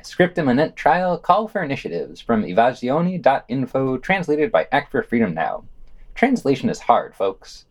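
Male podcast news reader, mid-pitched, lively varied delivery, scripted with brief asides. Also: moderate pace.

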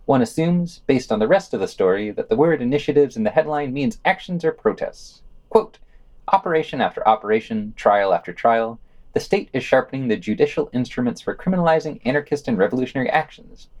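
Man reading podcast news, very lively intonation. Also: mid-range voice, a little fast. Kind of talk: scripted news reading with short asides.

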